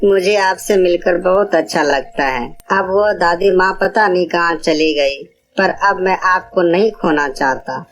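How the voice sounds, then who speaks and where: A woman's voice: fairly low pitch, a little slow, natural voice. A woman voicing an innocent, eager young village schoolboy in a cartoon story, with an earnest, pleading manner. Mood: fond, eager. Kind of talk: cartoon moral-story voice acting.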